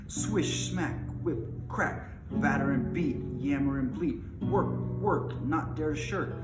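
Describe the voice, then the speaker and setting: A man's voice: medium pitch, voice pushed up. A man voicing a cruel, gleeful goblin in an improvised storybook song, chanted in short percussive bursts. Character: cruel, gleeful singing goblin driving prisoners. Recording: roomy echo, clearly noisy background.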